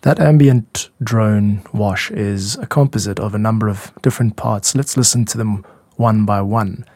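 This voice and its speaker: A man hosting a podcast, explaining his own music in a measured, reflective way. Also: fairly low pitch, warm tone, no room sound, studio quality. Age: adult. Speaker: podcast host and music producer.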